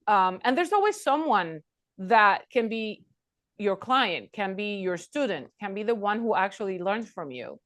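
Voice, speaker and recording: A woman's voice: medium pitch, lively intonation, measured pace. Woman host, affirming, recaps guest's points, conversational. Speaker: podcast host. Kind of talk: conversational interview.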